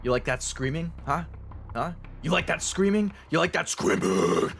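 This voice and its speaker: Man doing a hyped streamer yelling at chat. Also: a little high, sing-song, normal speed, creaky, slight background noise, voice pushed up, exaggerated voice. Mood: taunting. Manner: loud, taunting, yelling.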